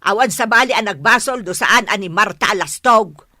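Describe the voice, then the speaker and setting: A woman's voice: medium pitch, moderate pace, pushed and bright, dry recording, studio quality. An Ilocano-accented woman playing an unrepentant, stubborn older village mother in a radio drama. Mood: stubborn, defensive.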